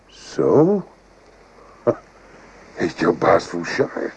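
An actor playing a wary, wry and commanding French corporal in a radio play, questioning briskly. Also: male voice, low voice, wildly swooping pitch, gravelly, darkish tone, husky, heavily acted. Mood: probing, excited. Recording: noisy old radio drama recording.

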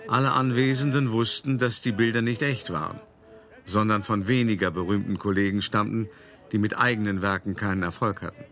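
An actor playing a calculating, urbane male art dealer who recounts his past deliberately and confidingly in a radio play monologue. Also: on the low side, fairly bright tone. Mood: reflective. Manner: deliberate, confiding, addressing the listener directly. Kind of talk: first-person dramatic monologue in a German radio play.

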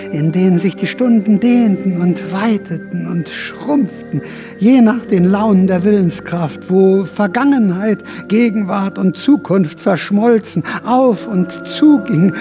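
A haunted old rebel storyteller with a notably high voice. He broods and rambles in radio-play monologue, paranoid yet defiant, with music underneath. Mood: defiant, exalted. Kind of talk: radio drama acting. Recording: music behind the voice.

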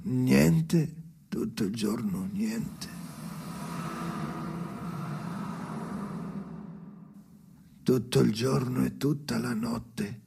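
Gravelly, slow old man, anguished. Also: medium pitch, noisy background. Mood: brooding, empty. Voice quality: creaky, gravelly. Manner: halting, fragmentary phrases with heavy pauses. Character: weary, anguished old man, haunted storyteller alone by the sea. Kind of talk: experimental radio drama monologue.

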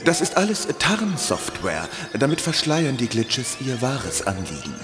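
Dry know-it-all decoder program, reverberant. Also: male voice, medium pitch, wildly swooping pitch, unhurried. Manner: precise, drily ironic. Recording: long reverb, music underneath.

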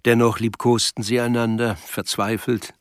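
A man's voice: mid-range voice, little pitch movement, normal speed, smooth, no room sound, studio quality. A man reading literary scripted narration for a radio drama. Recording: music behind the voice.

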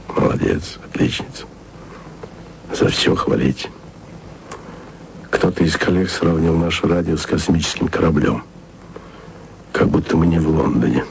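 Lovesick jealous man in a radio play, super low gravelly murmur, halting phrases. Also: slight background noise, heavily acted, rough recording. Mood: tender, teasing. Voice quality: deep gravelly voice. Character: lovesick, weary, jealous male radio worker. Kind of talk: intimate radio play monologue.

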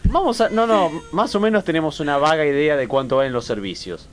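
A man's voice: on the high side, expressive, fairly quick, faint music behind. A man with a Rioplatense accent hosting a talk show, steering the chat and restarting his sentences. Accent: Rioplatense Spanish. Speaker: radio show host. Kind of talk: casual studio banter.

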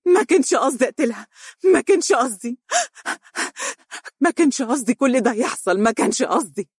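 A man's voice: very high voice, expressive, brisk, breathy edge, no room sound, studio quality, pitched above the performer's own voice, exaggerated voice. A narrator voicing a young Egyptian man who wails and sobs out a confession. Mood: wailing. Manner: wailing, broken repetition.

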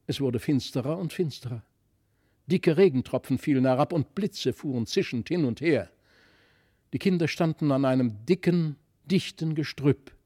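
A man narrating a literary fairy tale in a dramatic, expressive manner. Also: medium pitch. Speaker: storyteller.

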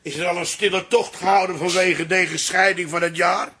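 An actor playing a grumpy, cynical customer, sardonic and exasperated, in a radio drama. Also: male voice, high voice, fairly even intonation, moderate pace, pushed and bright, husky, exaggerated voice.

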